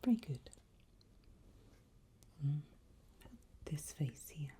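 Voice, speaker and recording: A woman doing an ASMR roleplay as an examiner, whispering in a breathy, husky voice with a gentle, reassuring, encouraging manner. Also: low voice, expressive, drawn-out words, a little hiss or hum.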